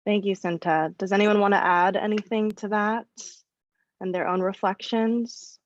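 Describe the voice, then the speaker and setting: A woman's voice: medium pitch, steady tone, moderate pace. A woman moderating a panel discussion in a friendly, conversational way. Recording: dry, dull lo-fi audio.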